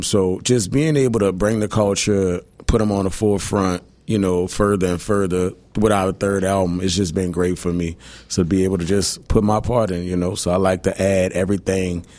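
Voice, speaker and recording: A man, a musician and Big Chief, talking in a relaxed, humble and thoughtful way in a radio interview, with many fillers. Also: low voice, no room sound. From New Orleans. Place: radio studio.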